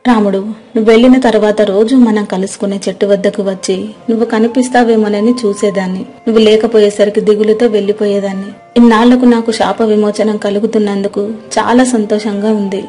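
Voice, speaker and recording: A woman's voice: mid-range voice, little pitch movement, fast, mellow, soft background music, close to an everyday voice. A woman voicing a grateful, sorrowful young mermaid bride, earnest and plaintive, in a moral story. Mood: wistful, grateful.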